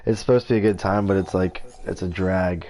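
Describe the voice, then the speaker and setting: An American man chatting casually over voice chat while gaming, talking haltingly with many restarts and fillers. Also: sits low, fairly even intonation, dark tone, slight background noise. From United States. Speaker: streamer playing an online team game.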